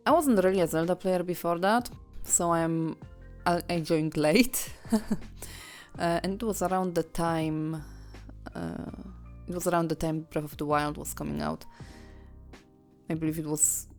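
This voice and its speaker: A woman's voice: lowish voice, darkish tone. A young woman chatting as a guest panelist, hesitant and often restarting her sentences. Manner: hesitant, self-correcting. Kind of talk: casual chat. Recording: faint music behind.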